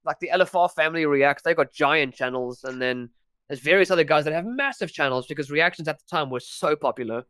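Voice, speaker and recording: A man's voice: fairly high pitch, expressive, quick pace, fairly bright tone, smooth, no echo, studio quality. A young man from South Africa with a South African accent, chatting as a podcast guest, talking freely with frequent restarts and laughs. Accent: South African English. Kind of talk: casual podcast chat.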